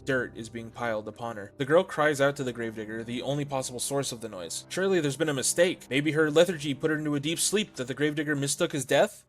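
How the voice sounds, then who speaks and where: A man narrating a scripted story recap as a video essayist, articulate, with dramatic storytelling phrasing. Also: mid-range voice. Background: soft music.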